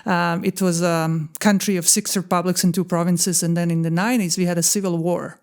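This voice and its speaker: A middle-aged woman with a Croatian accent, speaking haltingly and thoughtfully as a guest in a studio interview. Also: lowish voice, little pitch movement, a little mellow, smooth. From Bosnia and Herzegovina. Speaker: podcast guest. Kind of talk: reflective conversational interview.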